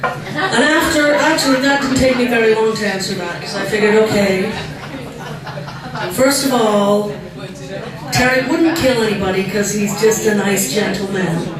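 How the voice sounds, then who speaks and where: A woman giving a roast speech with a loud voice and a dry, sarcastic delivery. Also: mid-range voice, normal speed. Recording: echoey hall from far off with crowd. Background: laughing audience.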